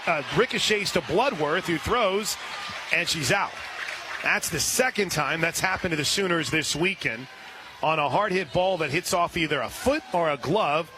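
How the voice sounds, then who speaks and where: An American man working as a radio play-by-play announcer, delivering softball commentary and sponsor reads in clipped, punchy phrases. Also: a little high, background noise.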